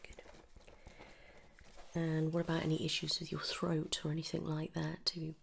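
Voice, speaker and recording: Whispering, creaky British woman doing ASMR. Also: low voice, completely flat delivery, a little hiss or hum. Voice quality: breathy whisper and hushed soft voice. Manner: gentle, unhurried, reassuring questioning. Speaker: ASMR performer playing a dental receptionist or dentist. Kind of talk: ASMR roleplay, whispered and soft-spoken.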